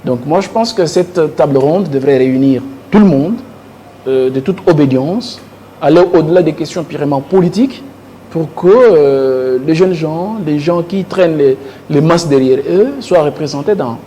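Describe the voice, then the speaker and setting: A Congolese man comments on politics as a panel guest. His voice sits a little high yet has a dark, soft tone. He often repeats small words while forming his point, with some noise on the recording. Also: normal speed. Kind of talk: political debate commentary in French. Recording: clearly noisy studio recording.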